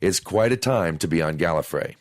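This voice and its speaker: A man reading a scripted review as a fan, in a measured way. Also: low-pitched, lively intonation, a little hiss or hum. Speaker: audio drama reviewer.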